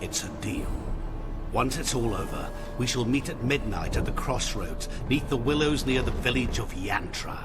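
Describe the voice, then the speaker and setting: An actor playing a sly, courteous, mysterious merchant in a video game, with a smooth, insinuating and deliberate delivery. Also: male voice, mid-range voice, expressive, loud music behind the voice, lightly acted. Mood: calculating, courteous. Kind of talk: video game cutscene acting.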